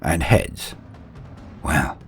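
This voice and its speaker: A man narrating a scripted fantasy story in a grandiose, theatrical manner, with wry, deliberate pauses. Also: a bit bright. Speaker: narrator of fan fiction lore. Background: background music.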